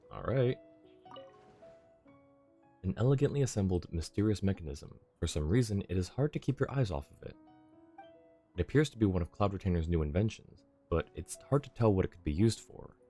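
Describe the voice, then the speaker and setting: A woman's voice: a bass voice, animated, moderate pace, long pauses, warm tone, breathy edge. A woman giving casual, wry game livestream commentary, musing aloud. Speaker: streamer. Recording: game music behind the voice.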